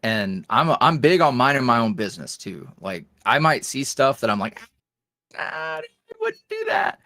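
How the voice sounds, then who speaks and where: A man's voice: medium pitch, huge pitch swings, normal speed, creaky, bright, forceful tone, no room sound. A man with a mid-range voice that swings up and down a lot as he talks. He chats casually like a podcast guest, folksy and jokey, poking fun at himself. The sound is close and dry. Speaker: interview guest, online audio roleplay creator.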